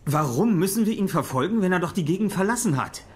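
An actor playing an earnest young doctor on a vampire hunt in an audio drama. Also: male voice, high voice, lively intonation, fast talker. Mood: questioning. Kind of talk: German audio drama acting. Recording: loud noisy ambience.

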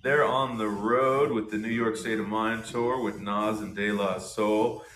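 Male host in his thirties, lowish voice, excited and slangy, recorded in an echoey room. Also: a little slow, slight background noise. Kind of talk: casual product showcase. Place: small indoor room with shelves and posters.

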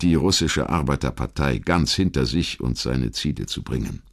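Ein Mann, der als Erzähler einen vorbereiteten historischen Text vorträgt. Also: male voice, sits low, creaky. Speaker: documentary narrator. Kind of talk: scripted historical narration.

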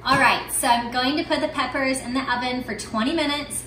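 A woman's voice: high voice, fairly even intonation, a little fast, bright, forceful tone. A young woman explaining a recipe step by step in an upbeat, plain way, filmed in her home kitchen on a camera set some distance away. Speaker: home cooking vlogger. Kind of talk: step-by-step cooking demo. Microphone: far camera. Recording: echoey kitchen, faint hum.

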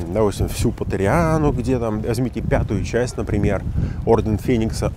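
A man's voice: on the low side, a little hiss or hum. A man in his forties, an interpreter and English teacher, talking casually while walking outdoors at night. He rants in an emphatic, mocking way, recorded on a phone held at arm's length. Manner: animated, emphatic, mocking rant. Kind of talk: casual walking vlog commentary. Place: city street at night, outdoors. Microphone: phone at arm's length with wireless earbuds.

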